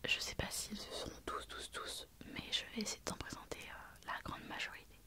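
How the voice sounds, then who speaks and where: Whispering woman with a cold. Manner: soft whisper throughout, unhurried with many fillers. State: has a head cold. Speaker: ASMR creator. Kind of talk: whispered ASMR show-and-tell.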